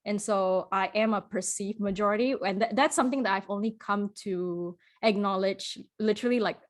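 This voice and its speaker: Woman from Singapore, podcast guest, halting and reflective, restarts often, laughs between thoughts. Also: mid-range voice. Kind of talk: casual conversational interview.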